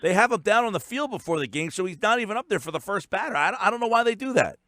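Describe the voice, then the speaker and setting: A man's voice: high voice, expressive, quick pace, pushed and bright, no room sound, studio quality. An American man hosting a sports talk radio show in a casual, conversational style.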